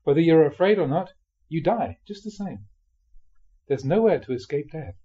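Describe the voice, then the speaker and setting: Mellow, slightly husky man reading aloud. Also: fairly high pitch, lively intonation, moderate pace, dull audio. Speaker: reader of Buddhist teachings. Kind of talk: expressive audiobook reading of a spiritual memoir.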